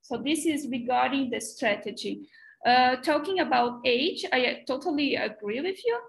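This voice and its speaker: A woman's voice: sits high, normal speed, vocal fry, rough recording. A young adult woman whose first language is Portuguese, answering examiners at her thesis defense over a video call with a headset. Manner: steady explanatory answers, frequent "so". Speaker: doctoral candidate. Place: small bright room indoors.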